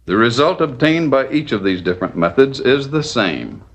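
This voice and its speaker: A man narrating a scripted 1940s instructional film in a measured, didactic, step-by-step manner. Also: medium pitch, very animated.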